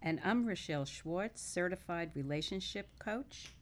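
A woman's voice: on the low side, animated, measured pace. A woman co-hosting a talk radio show, speaking in a casual style.

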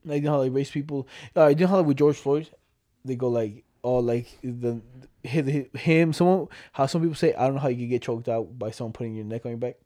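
A man's voice: medium pitch, fast talker, dark tone. A young man with a smoky voice, co-hosting a podcast in casual chat, rambling with lots of fillers and slang. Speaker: podcast co-host.

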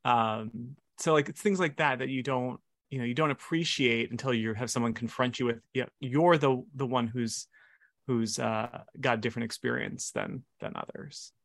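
Relaxed man with a dry close sound. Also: mid-range voice, moderate pace, vocal fry, dry recording. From Hawaii. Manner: relaxed, many fillers and restarts. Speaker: lawyer, guest. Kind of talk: casual interview answers.

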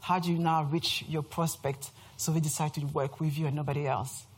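French woman in her 30s giving a talk, kinda husky, lowish voice, jokes at herself a lot. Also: fairly even intonation, fairly quick. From France. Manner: chatty and self-mocking, laughs often. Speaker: speaker presenting to an audience. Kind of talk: lively presentation talk. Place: event room before a live audience.

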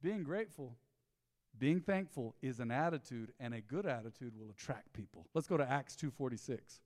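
A man, preaching a sermon in a folksy storytelling way, dropping to low asides and rising to loud emphatic bursts. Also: lively intonation, normal speed, long reverb tail. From Texas. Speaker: pastor. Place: church sanctuary.

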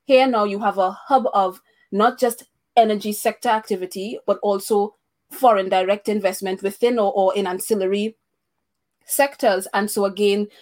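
A woman from Trinidad and Tobago hosting a discussion, hesitant, with many pauses and restarts. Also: mid-range voice, steady tone, a little mellow. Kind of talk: casual discussion.

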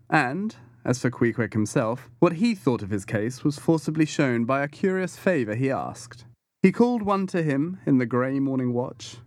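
A man reading a classic novel as an audiobook, with measured, expressive storytelling delivery. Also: mid-range voice, no room sound, clean studio sound. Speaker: audiobook reader. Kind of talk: literary audiobook narration.

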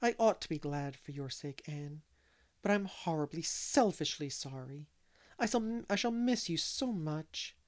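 A reader voicing a wistful, gentle unmarried lady in an audiobook, speaking with soft sighing longing. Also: mid-range voice, animated, measured pace, natural voice. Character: wistful, gentle older-ish spinster lady. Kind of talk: audiobook character reading.

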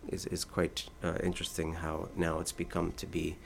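A man's voice: deep voice, completely flat delivery, moderate pace, gravelly. A man, a translator, answering interview questions thoughtfully, often restarting his sentences. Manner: thoughtful, with frequent restarts and fillers.

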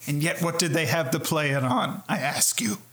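A man's voice: fairly high pitch, expressive, a little slow, fairly bright tone, a little hiss or hum. A man co-hosting a casual gaming podcast, joking around and playfully arguing. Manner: joking, puts on silly foreign voices. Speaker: podcast co-host.

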